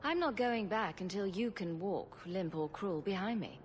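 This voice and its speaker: Actress as female sellsword lead: mid-pitched, dry, self-assured, game cutscene over noticeable ambience. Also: expressive, normal speed, slight background noise, close to an everyday voice. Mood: firm. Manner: dry, confident, terse. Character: cool, dry-witted female mercenary adventurer. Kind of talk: video game cutscene acting.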